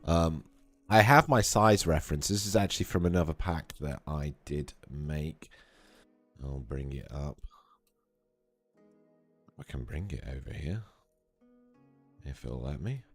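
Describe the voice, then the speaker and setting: A man with an extremely low voice, slightly hoarse from a cold, talks through his work in an easy, rambling way. He fills pauses with ums and uhs as he goes. Faint music plays behind him as he speaks into a desk mic. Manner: relaxed, thinking aloud with many fillers. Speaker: 3D artist streaming a modeling session. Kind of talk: casual live tutorial. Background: faint background music.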